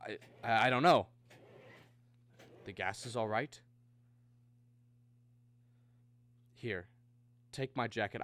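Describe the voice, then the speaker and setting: An actor playing an anxious, earnest American family man in a mid-century radio drama, with hesitant, halting speech. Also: fairly low pitch, fairly bright tone, close to an everyday voice. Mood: anxious, reassuring.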